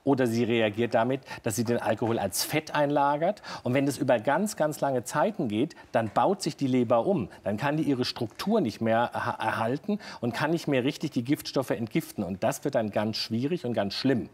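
A man in his forties, a doctor, calmly explaining health questions to a host in a television studio, recorded on a lapel mic. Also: medium pitch, moderate pace, hardly any pauses, husky. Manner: calm, explanatory, plain-spoken. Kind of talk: studio talk show answering viewer questions. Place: TV studio set.

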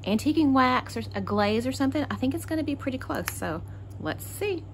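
A woman's voice: high-pitched, lively intonation, fairly quick. A woman from Texas hosting a casual crafting tutorial, chatty and thinking aloud as she works. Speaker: craft channel host.

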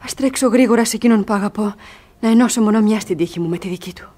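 A woman's voice: mid-range voice, mellow, natural voice. An actress playing a cunning, passionate young woman in a radio theatre comedy, dramatic and emphatic. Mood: desperate. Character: young female ward, cunning, passionate, desperate lover.

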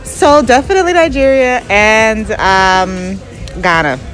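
A woman's voice: on the high side, a little slow, fairly bright tone, poor audio. A woman from Barbados, an entrepreneur, answering questions in an upbeat, chatty way, full of "you know.". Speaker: interviewee, entrepreneur. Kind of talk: casual chat. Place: clothing store indoors. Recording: music playing behind.

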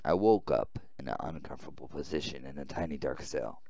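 A man reading a visual novel aloud as a weary, confused young male prisoner, subdued and halting. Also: rumbling low, creaky, mellow, no echo, highs cut off, pitched below the performer's own voice. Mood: groggy.

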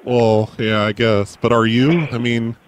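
A man doing prank phone calls as a mall Santa, in a deadpan, crude, mock-serious way. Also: mid-range voice, a little slow, slight background noise. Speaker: prank call host.

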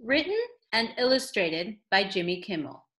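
A woman's voice: mid-range voice, big pitch swings, normal speed, fairly bright tone. A woman reading a picture book aloud in a theatrical storytelling style, addressing a child listener with dramatic emphasis.

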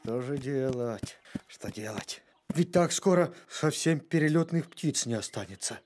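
A man voicing an earnest, fretful male dog who cares about nature in an animated cartoon. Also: medium pitch, a little slow, slight background noise, close to an everyday voice. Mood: worried. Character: earnest, worried male talking dog, nature-minded tinkerer.

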